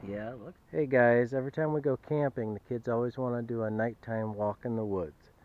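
A man's voice: mid-range voice, fairly even intonation, brisk. A man filming his family on a camping trip, narrating casually to camera and cheerfully addressing viewers as guys. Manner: chatty, upbeat, keeps addressing viewers as "guys". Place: outdoor campground and woods. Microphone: handheld action camera. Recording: muffled action-camera audio outdoors.

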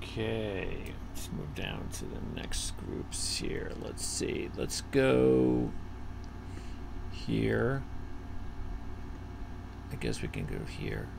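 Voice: medium pitch, wildly swooping pitch, drawn-out words, creaky, long pauses, background noise. A host leading a casual racing betting preview over a video call, thinking aloud with fillers while scanning a list.

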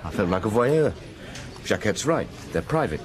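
Animated junior detective. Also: male voice, fairly low pitch, normal speed. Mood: frank, questioning. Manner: plain-spoken, brief remarks. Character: deferential, frank male junior police detective. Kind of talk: radio drama acting.

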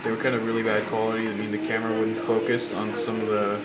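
A man's voice: on the low side, monotone, quick pace, heavy background noise. A young man with a slight cold and sore throat, rambling through casual gameplay commentary with many fillers and restarts. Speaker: gaming channel host. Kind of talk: casual let's-play commentary. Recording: roomy sound over loud game music. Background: loud game music and engine sounds.

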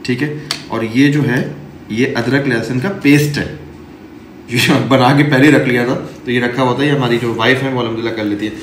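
A man's voice: medium pitch, fairly even intonation, fairly quick. Chatty man in an echoey home kitchen. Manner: chatty, explains each step, sprinkles English phrases and Islamic blessings. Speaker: cooking vlogger. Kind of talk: casual cooking vlog to camera. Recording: echoey kitchen with background noise.

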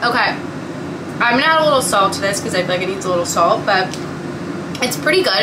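A young woman in her twenties, talking casually to camera in a small home kitchen and filling her speech with "like". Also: mid-range voice. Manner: chatty, many fillers like "like". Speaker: vlogger. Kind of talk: casual vlog chat. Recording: echoey room, noisy, mic at a distance.

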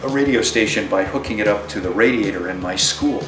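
A man's voice: on the low side, brisk. A middle-aged man from New York State with an American accent, reminiscing in an interview. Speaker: radio company president, interviewee. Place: indoors, office. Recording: roomy, distant mic, music behind.

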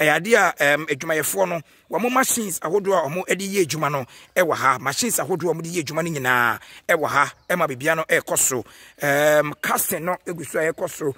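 Ghanaian male field reporter, high husky voice, dry outdoor sound, on-site walkthrough. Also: sharp tone, dry recording. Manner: walking tour, pointing things out. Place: outdoors at a stadium.

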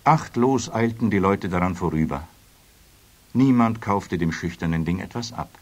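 A man narrating a children's story in an expressive, scripted storytelling manner. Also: low voice, moderate pace.

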